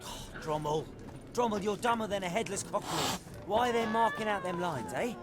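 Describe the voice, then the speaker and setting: An actor playing a scornful, agitated peasant in a fantasy video game, folksy and exclaiming. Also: male voice, high-pitched, lively intonation, a little fast, very noisy. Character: scornful, agitated peasant man, tavern grumbler. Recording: loud music over voice.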